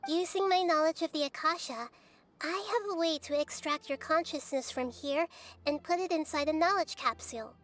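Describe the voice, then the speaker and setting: A woman voicing a gentle young girl goddess of wisdom in a video game, speaking in a soft, measured way. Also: sits high. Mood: calm earnest. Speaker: game character.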